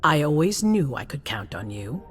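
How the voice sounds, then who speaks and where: An actress playing a grave, resolute vampire prince in a game cutscene, speaking formally with measured authority. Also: female voice, low-pitched, big pitch swings, soft background music. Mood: measured, persuasive. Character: commanding female vampire prince, grave, resolute city ruler. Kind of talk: dramatic video game cutscene acting.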